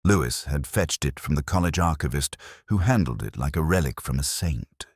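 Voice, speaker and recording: A man playing a melancholy, reflective police detective, narrating his case in solemn, deliberate literary phrasing. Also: very deep voice, expressive, husky, no room sound, clean studio sound, heavily acted. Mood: brooding, measured. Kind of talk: scripted first-person detective story narration.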